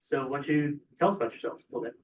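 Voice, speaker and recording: Fast-talking council president, man, brisk and filler-heavy, on muffled room audio. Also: medium pitch. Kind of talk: chairing a meeting.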